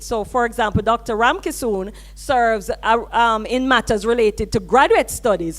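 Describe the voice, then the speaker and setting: High-voiced woman emceeing an orientation. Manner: upbeat, coaxing the audience. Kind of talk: hosting a university orientation. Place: lecture hall with an audience.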